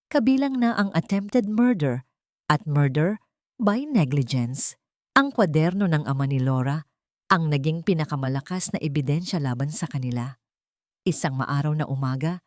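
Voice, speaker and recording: A woman reading a dramatic audio story with an expressive narrating voice. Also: sits low, dry recording, clean studio sound.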